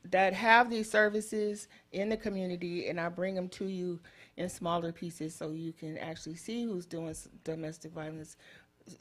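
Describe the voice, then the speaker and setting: A woman with a fairly low voice chats casually in a studio about her community show. Her speech is loose and full of filler sounds. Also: fairly bright tone. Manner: chatty, many filler sounds. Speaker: community radio show host. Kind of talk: casual chat. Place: TV talk studio.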